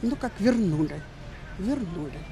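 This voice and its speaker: Lively older woman, a pensioner. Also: medium pitch, a little slow. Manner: chatty and self-deprecating. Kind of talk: street interview answers. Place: outdoors on a city street. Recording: street noise.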